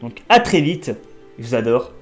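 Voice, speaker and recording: A man with a blocked nose doing casual, excitable let's play commentary as a gaming creator. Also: moderate pace, soft background music. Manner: excitable, pitch jumping up on exclamations.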